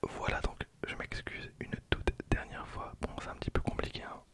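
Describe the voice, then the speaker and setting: A man in his late twenties whispering ASMR commentary softly and unhurriedly, close to the microphone. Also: normal speed. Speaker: ASMR video creator opening trading cards. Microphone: close handheld stereo recorder.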